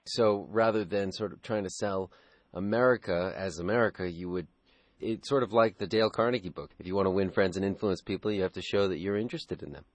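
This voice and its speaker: A man's voice: sits low, fairly quick, no room sound. A man hosting a radio interview, asking probing, conversational questions.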